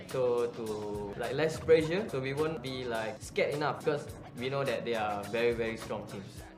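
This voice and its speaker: A man in his twenties, an esports team captain, talking haltingly about his team in an interview. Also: medium pitch, reverberant. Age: 20s. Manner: halting, with fillers and restarts. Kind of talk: pre-recorded team interview.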